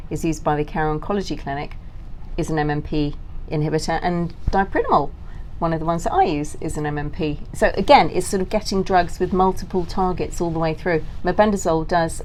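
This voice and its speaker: A woman's voice: on the low side, a little mellow, slight background noise. A woman giving detailed, technical explanations as the guest in an interview.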